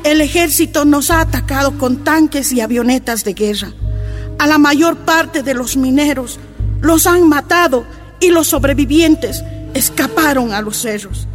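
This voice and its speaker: A shaken mother from a mining camp, her voice high and grave. Faint music sits under her words in this radio drama. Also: unhurried. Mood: grieving.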